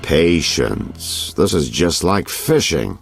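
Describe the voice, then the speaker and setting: A man playing a shrewd, patient investigator in a video game, gravelly and given to giving orders. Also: rumbling low, very animated, takes it slowly, exaggerated voice. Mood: shrewd, advising. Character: shrewd, patient male investigator, confiding and directive. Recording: music behind.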